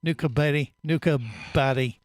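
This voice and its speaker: A man's voice: medium pitch, moderate pace. A man hosting a casual radio interview in a studio, rambling with many fillers and restarts. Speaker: radio show host.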